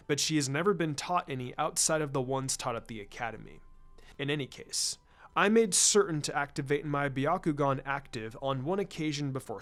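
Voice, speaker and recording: A man voicing a formal, proud clan patriarch and father in a story reading, speaking in a guarded, measured way. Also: mid-range voice, fairly bright tone. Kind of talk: fan fiction story reading. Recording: music under the voice.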